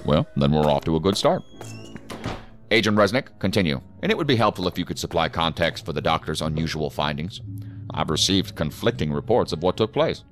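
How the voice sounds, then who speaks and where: An actor playing a stern, commanding federal assistant director with a formal, curt, authoritative manner in an audio drama. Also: male voice, low voice, animated, fairly quick, soft background music. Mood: stern, impatient.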